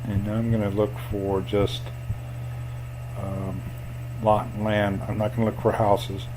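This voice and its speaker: Low-pitched man, flat and unhurried, for a screen tutorial with faint hum. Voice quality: self-described boring voice. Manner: plain, unhurried explaining with fillers.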